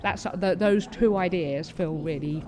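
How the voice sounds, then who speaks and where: A woman's voice: lowish voice, moderate pace. A woman speaking conversationally as an interview guest, with involuntary vocal tics that can interject stray words. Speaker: panellist and theatre-maker being interviewed. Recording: busy venue with crowd chatter behind. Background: crowd chatter.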